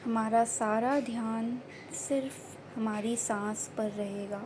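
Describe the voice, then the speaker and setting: A woman guiding a meditation in a gentle, soothing manner, with short unhurried phrases of instruction. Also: fairly high pitch, steady tone. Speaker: meditation guide. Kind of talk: guided meditation narration. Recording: faint background noise and ambience.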